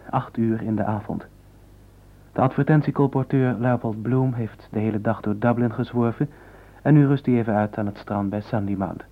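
A man announcing on the radio, reading a scripted programme introduction. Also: medium pitch. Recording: tinny band-limited old radio broadcast.

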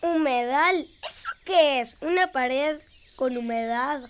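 A woman's voice: high-pitched, slow pace, a little hiss or hum. A woman playing a curious, naive young child in a children's radio play, with a Rioplatense Argentine accent and a wide-eyed, questioning manner. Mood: puzzled. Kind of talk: children's radio drama acting. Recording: long echoing reverb.

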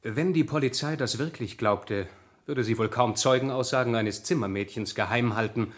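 An actor playing a politely persistent, probing newspaper reporter in a German radio crime drama. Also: male voice, medium pitch, normal speed, lightly acted. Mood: reassuring. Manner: probing, polite, hesitant question-asking. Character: male newspaper reporter, inquisitive, politely persistent amateur sleuth.

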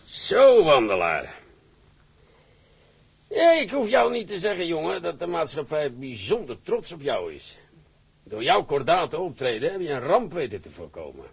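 A man's voice: fairly high pitch, huge pitch swings, unhurried, vocal fry, husky, full character voice. An actor playing a fatherly shipping company director who speaks measuredly and kindly, with hesitant fillers.

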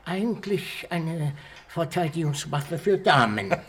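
An actor playing a pedantic, polite older gun collector in a radio crime drama. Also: male voice, on the high side, very animated, slow pace, fairly bright tone, heavily acted. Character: fussy, precise older male antique weapons collector.

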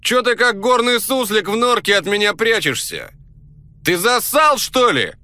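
Male narrator as angry, coarse security guard, high-pitched swooping shouts, belligerent, comic story. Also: very animated, a little slow, heavily acted. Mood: angry shouting. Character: brash, angry male night security guard yelling challenges.

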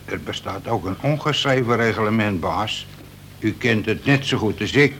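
Casting: sly jailbird joker, creaky low voice, falsely respectful, vintage radio drama with light noise. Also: male voice, normal speed, fairly bright tone. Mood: mock-deferential. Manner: mock-deferential, slangy, sly. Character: sly, cheeky male convict, old rogue and joker. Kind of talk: Dutch radio play acting. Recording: noisy old radio recording with rumble.

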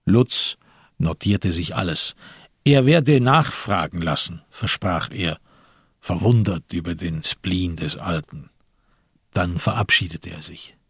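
A man with a gravelly, husky voice reading literary narration for a crime radio play. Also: low voice, takes it slowly, no room sound, studio quality. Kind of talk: scripted literary narration with reported speech.

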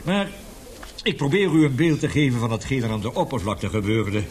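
An actor playing an older, indignant scientist professor in a radio play, vehement and lecturing, halting at times. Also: male voice, mid-range voice, sing-song, darkish tone, close to an everyday voice. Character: indignant, passionate scientist professor with a weak heart. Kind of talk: radio drama acting.

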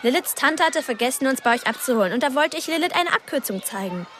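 A woman's voice: high-pitched, lively intonation, fast, a bit bright. An actress playing a chatty, friendly, slightly anxious twelve-year-old witch girl in a radio drama. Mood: covering up.

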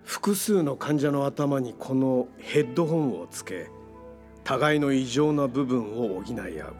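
A man's voice: mid-range voice, expressive, unhurried, a little mellow, faint music behind, lightly acted. An actor playing a confident psychotherapist who lectures in technical terms about his invention, in a radio drama. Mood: assured, explaining. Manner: lecturing, technical, self-assured. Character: confident male psychotherapist explaining his brain-linking invention.

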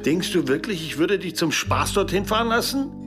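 An actor playing an earnest, insistent man in a radio drama, pleading to be believed in a husky voice. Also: high voice, expressive, moderate pace, music in the background. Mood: urgent, insistent. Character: insistent, earnest man pleading that his wild story is true. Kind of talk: German radio drama dialogue.

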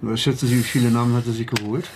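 An actor playing a dryly superior British army major in a radio drama, questioning in a clipped, probing manner. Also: male voice, medium pitch, little pitch movement, very fast, noisy background, close to an everyday voice.

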